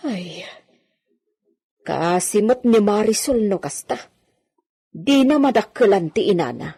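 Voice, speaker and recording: A woman's voice: mid-range voice, animated, takes it slowly, mellow, breathy edge, full character voice. A woman with an Ilocano accent acting a flustered, deferential servant and nanny in a radio drama. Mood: worried. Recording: very noisy track with clicks.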